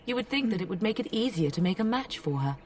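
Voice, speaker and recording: A woman's voice: medium pitch, big pitch swings, fast, distant mic, lightly acted. A woman playing a proud middle-aged noblewoman in a fantasy game, a gracious mother who speaks with refined, dry wit. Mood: dryly teasing. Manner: refined, wry, poised.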